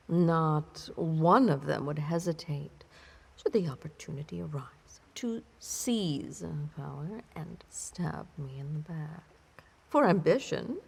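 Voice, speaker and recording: A woman playing a cunning, disdainful vampire mistress in an intimate audio drama, with haughty archaic phrasing and a breathy, whispery delivery. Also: low voice, darkish tone, heavily acted. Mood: bitter contempt. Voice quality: breathy, whispery, with creaky edge. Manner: haughty, archaic, theatrical phrasing with lingering pauses. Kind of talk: intimate scripted roleplay audio drama monologue.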